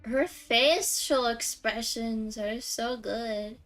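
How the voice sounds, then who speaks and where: Female streamer, gushing and playful, higher pitch, slow delivery, casual livestream chat. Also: a bit bright, soft background music. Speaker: game streamer. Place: game livestream with game music behind.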